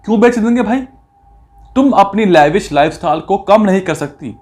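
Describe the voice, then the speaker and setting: Indignant man commentator, slightly high voice. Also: expressive, normal speed, a little mellow, faint music behind. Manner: indignant, accusatory, rhetorical questions; mixes English phrases into speech. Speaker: video commentator. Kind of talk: opinionated commentary on a recording.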